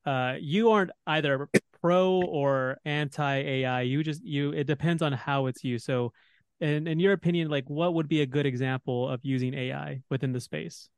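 Smooth-voiced podcast host from Texas. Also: male voice, on the high side, normal speed, dry recording. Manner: rambling, filler-heavy, leads discussion. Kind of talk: casual podcast discussion.

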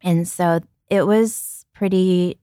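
A woman's voice: lowish voice, fairly even intonation, slow pace, dark tone, dry recording, studio quality. A woman in her thirties, originally from Ukraine and raised in Brooklyn, talking candidly as a podcast guest, often restarting her sentences. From born in Ukraine, raised in Brooklyn, New York. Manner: reflective, frequent restarts, light laughs. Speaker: guest, company founder.

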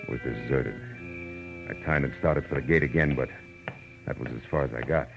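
A hard-boiled private eye with a low voice and a clipped, wry, cynical delivery. It is classic 1940s radio drama, narrating and trading tough talk. The recording is dull and old, with music around it. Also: male voice, fairly quick, gravelly. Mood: tense narration. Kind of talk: 1940s radio drama acting, first-person narration and dialogue.

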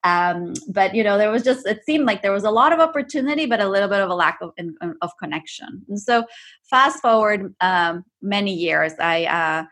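A woman's voice: medium pitch, lively intonation, moderate pace, fairly bright tone. A middle-aged woman from Ecuador, a company co-founder answering questions in a podcast interview, thoughtful and full of fillers and restarts.

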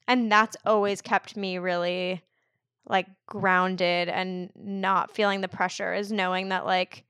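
Guest: woman in her twenties, casual and chatty, loads of "like", mid pitch, dry close mic. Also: level tone, takes it slowly, a bit bright, clean tone, no echo. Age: 20s. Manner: chatty, fills speech with "like". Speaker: podcast guest. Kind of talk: casual conversational interview.

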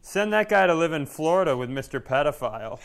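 Guy podcast host cracking quips, sweary, voice a bit high and really lively, crowd noise behind him. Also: fairly quick, creaky. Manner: profane, quippy. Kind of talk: casual comic banter.

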